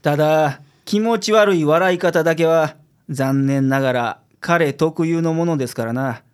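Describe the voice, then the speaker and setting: Man playing a gruff Kansai private detective. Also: on the high side, measured pace, natural voice. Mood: formal, reassuring. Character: gruff, self-assured male private detective. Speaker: cast member of a community radio show. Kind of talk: radio drama dialogue.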